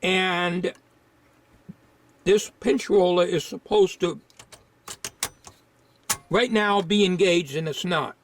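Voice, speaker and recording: A man giving an informal, unscripted hands-on repair demonstration, thinking aloud in a halting way. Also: high voice.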